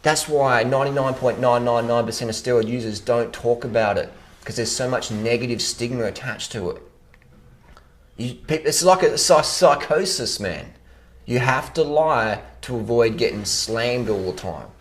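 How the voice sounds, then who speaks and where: A man's voice: mid-range voice, moderate pace. A man in a casual, unscripted rant as an online commentator, blunt, opinionated and freely swearing, often repeating phrases for emphasis. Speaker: online fitness commentator answering viewer comments.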